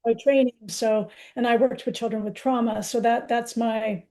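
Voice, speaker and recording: A woman's voice: a little high, steady tone, moderate pace, mellow. A woman in her sixties, a poet answering interview questions in a candid, reflective, conversational way. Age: 60s.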